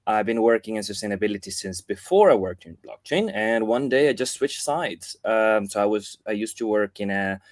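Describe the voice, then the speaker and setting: A man from Argentina with an Argentine accent, his voice sitting low and heard dry and close over a video call. He talks in a casual, thoughtful way, often stopping to rephrase mid-sentence. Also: expressive, normal speed, hardly any pauses, no room sound. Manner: thoughtful, with frequent restarts and fillers. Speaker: guest, foundation chief of staff. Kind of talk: casual interview talk.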